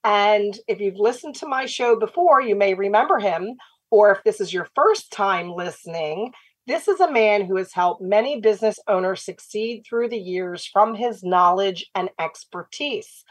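Slightly raspy woman, radio host, upbeat and talkative, dry close studio sound. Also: medium pitch, lively intonation, normal speed, no room sound. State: slightly raspy after laryngitis. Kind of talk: radio talk show hosting.

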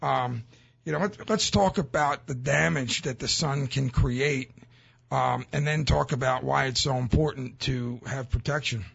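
A young man in his twenties hosts casual talk with a husky, gravelly voice. He rambles his way toward each point. The recording is slightly muffled. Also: mid-range voice, a little slow, fairly bright tone. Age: 20s. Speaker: talk show host. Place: radio or podcast studio. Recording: slightly dull studio sound.

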